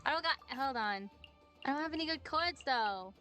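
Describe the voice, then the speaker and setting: Woman, game streamer, forceful bright tone, exclaims and thinks aloud, faint music behind. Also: high voice, expressive, normal speed. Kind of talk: casual gaming chat, talking through her moves.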